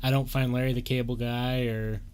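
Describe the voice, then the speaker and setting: Young male co-host, mid-pitched, easygoing banter with excited jumps, faint hiss behind. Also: fairly quick. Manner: easygoing, swings up in pitch when excited, casual swearing. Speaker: podcast co-host. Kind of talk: casual chat.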